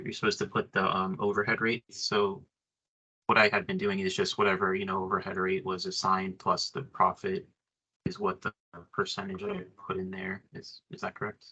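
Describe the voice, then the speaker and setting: A man with a low voice and a bright, forceful edge speaks as an attendee at a workplace training. He is informal and tentative, thinking his way through what he wants to check. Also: little pitch movement, normal speed, muffled recording. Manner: tentative, seeks confirmation. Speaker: training attendee asking questions. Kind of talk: informal workplace question and discussion.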